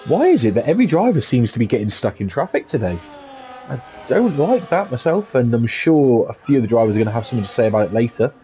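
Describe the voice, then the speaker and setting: Chatty male race commentator, mellow tone. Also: medium pitch, sing-song, fast talker, a little hiss or hum. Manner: chatty, upbeat, playful asides.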